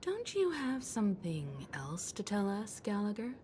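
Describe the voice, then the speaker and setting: An actress playing a poised, perceptive woman who leads an expedition in a video game, composed, polite and gently probing. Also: medium pitch, very animated, measured pace, a little hiss or hum. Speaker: video game character voice actor. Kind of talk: dramatic game dialogue.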